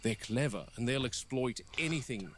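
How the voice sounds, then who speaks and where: A man playing a stern, exasperated game warden delivering a grave, deliberate monologue in a found-footage horror piece. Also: mid-range voice, expressive, natural voice. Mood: watchful, grave. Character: stern, exasperated male park game warden logging incidents. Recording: noisy found-footage audio with insects.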